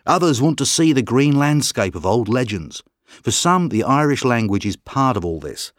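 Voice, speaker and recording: Male actor as calm articulate writer guest, expressive delivery, dry studio read. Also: mid-range voice, normal speed, no room sound, close to an everyday voice. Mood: earnest. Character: man, calm thoughtful writer and broadcaster. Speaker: interview guest. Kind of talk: scripted exam interview.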